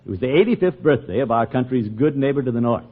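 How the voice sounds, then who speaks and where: An American actor playing a pompous, folksy middle-aged small-town uncle in a radio comedy, with self-important, oratorical delivery. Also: male voice, medium pitch, lively intonation, fast, slight background noise, lightly acted. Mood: earnest, oratorical. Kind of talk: 1950s radio comedy acting. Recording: tinny old radio broadcast, muffled.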